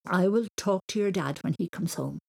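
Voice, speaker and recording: A woman's voice: lowish voice, moderate pace, vocal fry, darkish tone. A woman voicing an exasperated storybook mother, stern and weary as she scolds.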